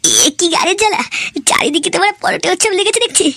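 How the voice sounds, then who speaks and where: A woman's voice: extremely high pitch, huge pitch swings, fast talker, gravelly, sharp tone, dry recording, full character voice. A woman playing a foul-mouthed, argumentative idle young man in an exaggerated comic cartoon. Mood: exasperated. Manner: crude, argumentative.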